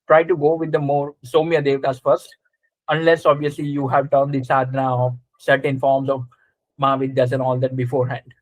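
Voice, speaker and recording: A man's voice: mid-range voice, little pitch movement, fairly quick, no echo, rough recording. A man teaching a live interactive class, explaining conversationally and checking understanding with short questions. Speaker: astrology teacher.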